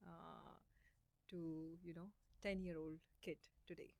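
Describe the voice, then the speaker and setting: Woman host, lowish voice, slow careful questions, lots of mm's, dry sound. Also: flat delivery, vocal fry, darkish tone, no room sound. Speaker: podcast host. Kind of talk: interview questions.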